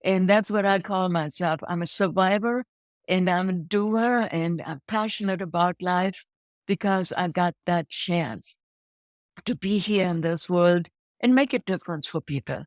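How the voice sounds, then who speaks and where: A woman's voice: fairly low pitch, measured pace, dry recording, clean studio sound. A woman in her sixties from Germany with a German accent, telling her life story as an interview guest, upbeat and laughing often. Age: 60s. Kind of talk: interview chat.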